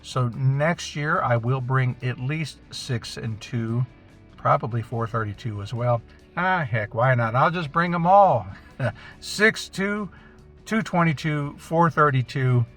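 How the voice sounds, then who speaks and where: A man's voice: medium pitch, slow pace, fairly bright tone, faint music behind. A man giving a casual, unscripted recap as an amateur radio vlogger, chatty and informal, thinking aloud as he goes.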